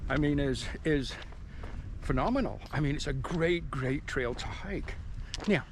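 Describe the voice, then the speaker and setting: A middle-aged man from England talks in a rambling, chatty way, his worn by years in America. He chuckles and restarts as he vlogs to a camera in a cafeteria. Clear background noise and passing vehicles sit behind his voice. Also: fairly high pitch, expressive, measured pace. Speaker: hiking vlogger. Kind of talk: casual vlog to camera. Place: mountain resort cafeteria, indoors.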